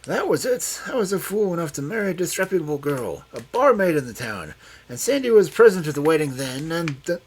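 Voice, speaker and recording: Wild, excitable old man. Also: very high voice, normal speed, few pauses, voice pushed up. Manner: wild, excitable, cunning outbursts. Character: agitated feeble-minded old man.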